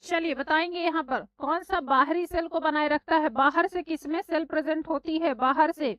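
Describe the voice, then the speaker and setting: High-voiced woman teacher with a sore throat. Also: fairly even intonation, normal speed, a bit bright, no echo. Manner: scolding, addresses students as beta and bhai. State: sore throat, slightly hoarse. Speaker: exam prep teacher. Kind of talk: live online class. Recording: dry, slightly dull online class audio.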